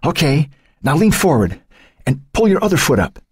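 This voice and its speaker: A man's voice: fairly high pitch, sing-song, normal speed, slightly rough, dry recording, clean studio sound, voice pushed up, exaggerated voice. A man voicing a determined young boy who coaxes and insists, guiding a scared friend in a storybook reading. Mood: urging. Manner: urging, insistent.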